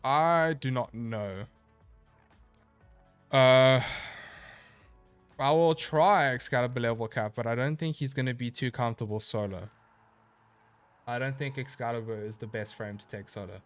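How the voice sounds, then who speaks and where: A man streaming a video game live, chatting casually and answering his chat in a relaxed, rambling way, sounding a little stuffy and nasal. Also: mid-range voice, a little slow, long pauses, clear, resonant tone, soft background music. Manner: laid-back, rambling, reads chat aloud and answers.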